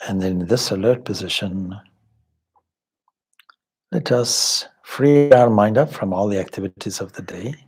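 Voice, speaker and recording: Slow, husky-voiced man guiding meditation. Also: fairly low pitch, darkish tone. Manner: measured, deliberate, with long pauses between short phrases; formal, contemplative wording. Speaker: meditation teacher, swami. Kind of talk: guided meditation, slow spoken instruction.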